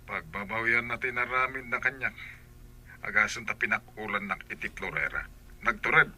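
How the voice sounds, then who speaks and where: A man voicing a doctor who calmly questions people about a missing nurse. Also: on the low side, normal speed. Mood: probing. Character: calm doctor questioning a patient's family.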